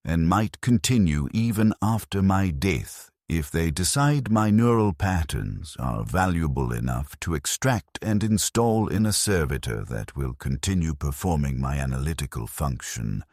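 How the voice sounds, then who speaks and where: A man narrating a scripted first-person story in a somber, measured, bleak way into a close mic. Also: very deep voice, sing-song, darkish tone, no room sound.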